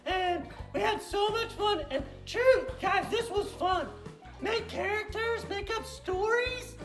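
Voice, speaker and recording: A performer voicing an excitable, giggly little girl puppet who hosts a kids' show, gushing and repeating exclamations. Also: very high-pitched, takes it slowly, exaggerated voice. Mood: excited, giggly. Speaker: puppet show host for kids. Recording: roomy echo, faint music.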